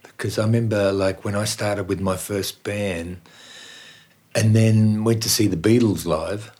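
Older Australian man, low voice. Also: fairly even intonation, unhurried, a little mellow. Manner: chatty storyteller, laughs often. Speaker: guest musician. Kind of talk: casual interview.

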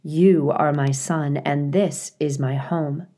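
Woman as a low, gentle robot mother. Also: steady tone, takes it slowly. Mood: tender, resolute. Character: gentle female robot mother, sad and devoted.

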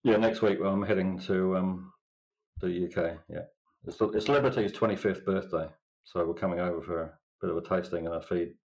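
A man's voice: low voice, steady tone, a little fast, a little mellow, rough recording. Dry, laconic man with a Kiwi accent. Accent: New Zealand. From England. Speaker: winemaker guest. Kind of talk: casual interview chat.

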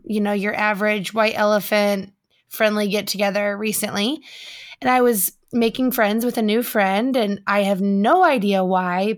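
A woman's voice: mid-range voice, a bit bright. A woman co-hosting a podcast, telling stories in casual chat with a slightly scratchy voice. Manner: chatty, laughs often, tells stories. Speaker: podcast co-host.

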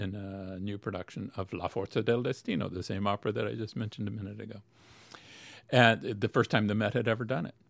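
Gravelly low-voiced man, halting. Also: no room sound. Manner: thoughtful, many fillers and restarts. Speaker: opera expert guest. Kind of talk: interview answers.

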